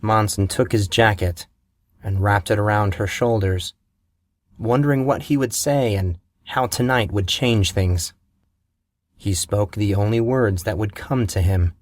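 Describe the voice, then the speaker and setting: A man narrating a fantasy novel in a measured, steady storytelling manner, recorded on a close mic. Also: on the low side, no echo.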